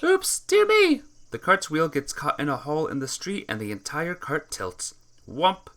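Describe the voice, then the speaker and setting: Bright-voiced, expressive game playthrough reader. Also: medium pitch, moderate pace. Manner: stumbles over words and corrects himself or herself. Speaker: gamer reading a visual novel aloud. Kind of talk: game playthrough narration, reading aloud with character voices.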